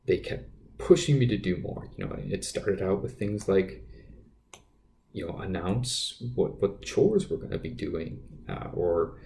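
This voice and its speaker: A man with a naturally quiet voice telling a personal story off the cuff as a podcast host, hesitant and often repeating his words. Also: deep voice, lively intonation, measured pace, gravelly. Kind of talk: unscripted personal storytelling monologue.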